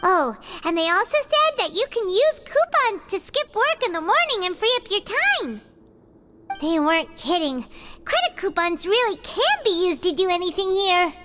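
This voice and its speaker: A woman voicing a tiny, excitable childlike fairy companion in an animated video game, squeaky, chirpy and talkative. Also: normal speed, very noisy. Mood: chatty. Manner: chirpy, refers to self in third person.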